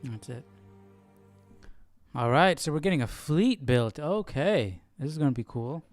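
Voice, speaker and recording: A man's voice: a little high, expressive, unhurried, no echo, soft background music. A man hosting a Bible study stream, commenting casually and chattily on a reading.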